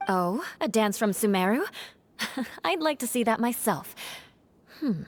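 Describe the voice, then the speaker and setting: A woman voicing a poised, thoughtful young woman in a video game, with a measured, musing manner. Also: a little high, lively intonation. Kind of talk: video game character voice acting.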